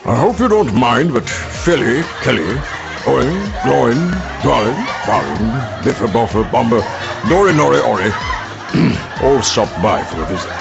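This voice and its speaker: A man playing a gruff dwarf in a comedy sketch, rattling through names. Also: medium pitch, very animated, normal speed. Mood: jovial, announcing. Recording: muffled clip, loud music and crowd.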